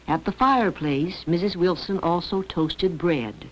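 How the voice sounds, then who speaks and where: A woman's voice: on the low side, measured pace, a little hiss or hum. A woman reading scripted narration for an educational film. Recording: dull lo-fi old educational film.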